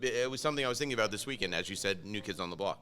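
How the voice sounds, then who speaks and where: A man's voice: lowish voice, lively intonation, racing, pushed and bright, clean tone. A man co-hosting a casual talk show in a car service center. He needles the host with contrarian, offbeat arguments. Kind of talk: casual banter.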